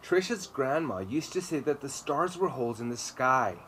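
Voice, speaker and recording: A man's voice: medium pitch, lively intonation, normal speed, a bit bright, faint music behind, poor audio. A man in his thirties reading a children's story aloud with emphatic, dramatic phrasing in a garden. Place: outdoor garden patio, seated. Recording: clear ambient noise behind voice.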